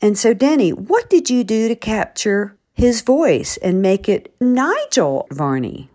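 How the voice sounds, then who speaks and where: A woman speaking as an author and podcast interviewer in a chatty, expressive way. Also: medium pitch, takes it slowly.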